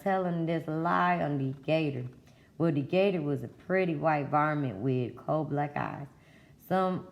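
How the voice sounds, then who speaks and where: A woman voicing a folksy storybook teller of tall tales. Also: low voice.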